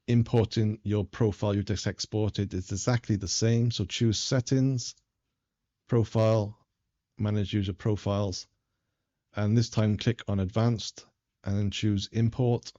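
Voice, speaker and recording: A man narrating a step-by-step software tutorial in a plain, methodical way, recorded as a dull, lo-fi voice-over. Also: lowish voice, steady tone, measured pace.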